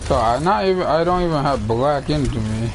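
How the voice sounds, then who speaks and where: A man's voice: medium pitch, lively intonation, brisk, noisy background. Easygoing man with a slight Wisconsin accent. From Wisconsin, USA. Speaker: game streamer. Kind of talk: casual voice chat while gaming.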